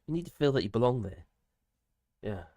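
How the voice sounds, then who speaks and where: An older man with grandchildren, hosting a casual live stream, hoarse from a cold and chatting in a rambling way with many fillers and restarts. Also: mid-range voice, animated, brisk, dark tone. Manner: rambling, chatty, with frequent fillers and self-corrections.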